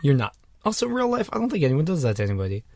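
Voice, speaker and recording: A man's voice: fairly high pitch, wildly swooping pitch, racing, creaky, darkish tone, dry recording. A young man co-hosting a podcast, riffing in casual, profane, joking chat from a small closet. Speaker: podcast co-host.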